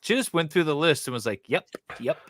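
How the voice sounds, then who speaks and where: A man's voice: fairly high pitch, expressive, brisk, background noise. A man in casual chat as host, reading a web page aloud and adding sarcastic, mocking commentary.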